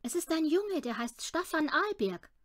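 Woman reader as a gentle mom in a storybook, calm, soft explaining, high pitched. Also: normal speed. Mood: gentle, regretful. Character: calm, gentle mother breaking bad news.

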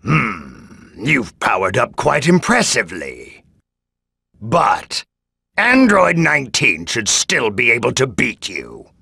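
An actor playing an arrogant, sinister old android scientist in an anime game, with a gravelly, raspy voice and a haughty, deliberate delivery. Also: male voice, medium pitch, huge pitch swings, bright, forceful tone, dry recording, studio quality, full character voice. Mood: cold, haughty. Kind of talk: English anime game voice acting.

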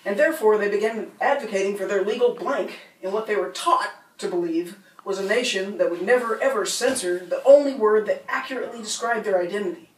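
A middle-aged woman performs her spoken word poems in a rhythmic, rhyming cadence. Her voice sits at a middle pitch. It is picked up from across a roomy bookstore, with a faint hum behind it. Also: fairly quick, hardly any pauses. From United States. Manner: rhythmic, rhyming delivery with small laughs between poems. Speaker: poet. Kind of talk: spoken word poetry reading. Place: bookstore reading room. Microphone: standing mic, filmed from a distance. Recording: roomy sound from a distant camera.

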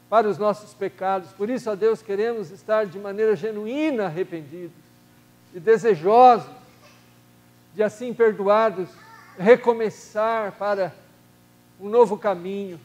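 An older man leading a solemn, measured prayer in a church sanctuary as minister, his voice softening at the ends of phrases. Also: sits high, muffled recording. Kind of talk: slow formal congregational prayer.

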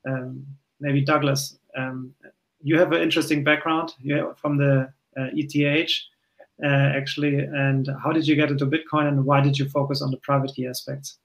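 A man's voice: medium pitch, level tone, normal speed, vocal fry, rough recording. A man moderating a panel over a video call, with a hesitant way of talking, restarting and repeating words. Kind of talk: hosting a panel discussion. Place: home room, in doors.

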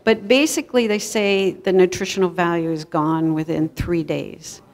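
A woman's voice: on the low side, steady tone, normal speed, a little mellow. A middle-aged woman giving an informal live cooking demonstration in a conversational, explanatory way. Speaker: cooking class presenter. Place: church kitchen hall, indoors, before an audience. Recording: echoey hall, live event recording.

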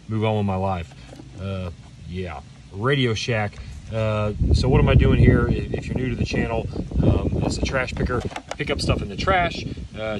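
Low-voiced man, casual, recorded at a distance. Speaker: video host, hobby scrapper. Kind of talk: casual hands-on teardown commentary. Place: outdoors on pavement. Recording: distant mic, outdoor background noise.

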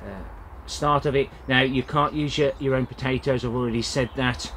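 A man's voice: medium pitch, steady tone, moderate pace, a bit bright, a little hiss or hum. A man talking casually through an allotment vlog outdoors, with plenty of "uh" fillers. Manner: plain-spoken, many "uh" fillers. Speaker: allotment gardening vlogger. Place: outdoor allotment, in and around a greenhouse.

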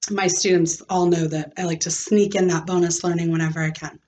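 A middle-aged woman teacher reading a children's book aloud and pausing to explain in a deliberate way. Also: fairly low pitch, fairly even intonation, a little mellow. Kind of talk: expressive children's read-aloud with teaching asides. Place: small carpeted room at home. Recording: clearly noisy home recording with clicks.

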